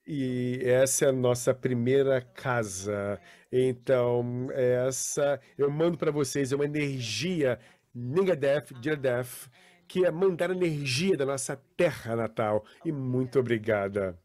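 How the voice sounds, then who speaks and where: A man, interpreting live over a video call in a halting, self-correcting way. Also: mid-range voice, darkish tone. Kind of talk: live interpretation for an online panel.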